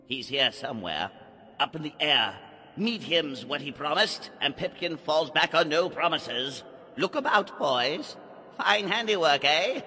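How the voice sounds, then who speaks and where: Spooky, excitable ringleader for audio drama: raised pitch, measured pace, exclamatory and theatrical. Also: male voice. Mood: sly, playful. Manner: exclamatory, declamatory, urging. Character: excitable, theatrical male ringleader guide, eerie and gleeful.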